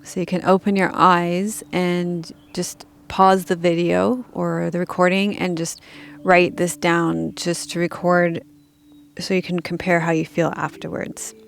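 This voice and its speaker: A woman leading a guided meditation as a teacher, speaking calmly and gently in measured instructions with pauses. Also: fairly low pitch, creaky.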